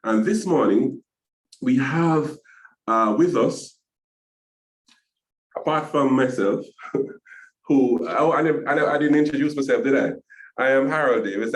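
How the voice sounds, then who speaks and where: A man hosting an online business panel, halting and restarting phrases with small chuckles. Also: medium pitch, roomy sound, poor audio. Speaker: webinar host, business agency executive. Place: video call.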